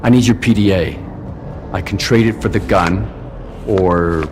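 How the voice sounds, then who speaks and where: A man's voice: low voice, a little slow, background noise. A man playing a tough, unflinching lone mercenary in a video game, speaking bluntly and matter-of-factly. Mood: cool defiance.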